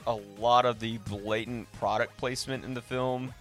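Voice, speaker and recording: A man's voice: medium pitch, steady tone, normal speed, fairly bright tone. Enthusiastic man chatting casually, music behind. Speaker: podcast host discussing films. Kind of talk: casual film discussion.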